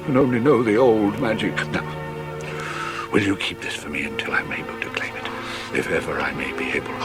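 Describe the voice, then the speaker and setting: Old magician showman in an audio drama, urgent n rambling, really lively swings, music behind. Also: low voice. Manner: old-fashioned, rambling storytelling with chuckles and repetitions.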